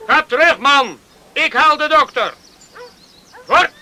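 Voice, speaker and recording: An actor in a Dutch radio play playing an urgent young villager driving horses, calling out loudly. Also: male voice, extremely high pitch, expressive, slow, exaggerated voice. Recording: noisy old radio play recording.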